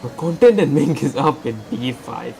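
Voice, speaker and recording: A man commentating a live sim-racing stream, hoarse from losing his voice, excitable and blunt. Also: mid-range voice, normal speed. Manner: excitable, blunt, talks over co-host. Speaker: esports race commentator. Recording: game sounds and music behind. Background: racing game engine noise and music.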